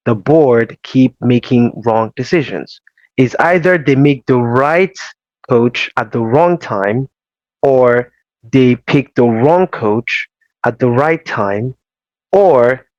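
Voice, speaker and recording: A man hosting a casual football show, explaining tactics in a measured, emphatic way. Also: medium pitch, no echo. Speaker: host. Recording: slightly dull dry audio.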